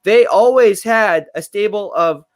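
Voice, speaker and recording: A man with a high, bright-sounding voice runs a football live stream. He talks quickly and energetically, piling up fillers and repeated phrases as he breaks down plays. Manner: energetic, fast-talking, full of fillers and repeats. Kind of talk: casual sports analysis livestream.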